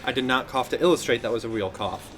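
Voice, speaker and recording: A man hosting a casual, playful live podcast, his throat slightly hoarse as he recovers from being sick. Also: medium pitch, fast talker, a bit bright. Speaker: podcast host. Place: inside a game store.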